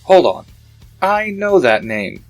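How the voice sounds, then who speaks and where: Animated ad partner, excited, mid pitch with big swings, faint music. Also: slow. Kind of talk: scripted promotional dialogue.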